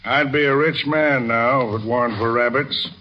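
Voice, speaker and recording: An actor playing a laconic, grumbling plumber in old radio comedy, with a dry, curt, deadpan delivery. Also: male voice, medium pitch, moderate pace, natural voice. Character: grumbling, laconic plumber full of failed money-making schemes. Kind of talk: 1930s-40s radio comedy acting. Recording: muffled, very noisy old radio recording.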